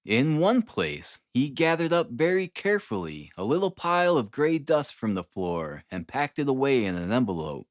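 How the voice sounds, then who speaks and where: A man reading a classic detective novel aloud as an expressive, literary audiobook narrator. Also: medium pitch, normal speed, few pauses, no room sound, clean studio sound.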